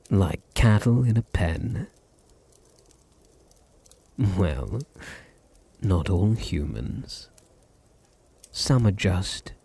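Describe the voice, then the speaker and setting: A man with a deep British voice playing a possessive, dominant vampire master in an intimate ASMR roleplay, teasing and condescending, with a gravelly, husky murmur. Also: warm tone, full character voice. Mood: smug, possessive. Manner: slow, teasing, condescending, drops into breathy murmurs.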